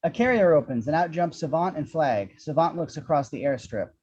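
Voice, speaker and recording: A man's voice: fairly high pitch, lively intonation, fairly quick, darkish tone, slightly rough. A man reading screenplay action descriptions with a wry manner, on a dull lo-fi microphone. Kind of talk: scripted screenplay narration.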